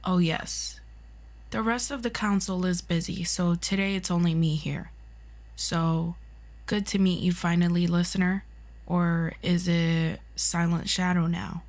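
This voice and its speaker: A woman's voice: on the low side, steady tone, a little slow, darkish tone. A woman playing a composed, formal and slightly condescending superhero agency official in an ASMR roleplay. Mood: formal, polite.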